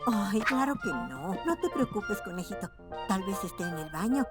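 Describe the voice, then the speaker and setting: A woman voicing a gentle, reassuring mother rabbit in a children's cartoon dub, with a soothing manner and a Latin American Spanish accent. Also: mid-range voice, very animated, normal speed, lightly acted. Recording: loud music behind the voice.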